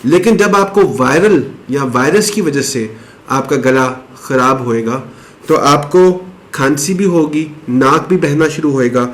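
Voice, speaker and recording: A man explaining a home remedy step by step in a casual, chatty way, now and then clearing his throat. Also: mid-range voice. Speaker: health advice presenter. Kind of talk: casual explanatory talk to camera.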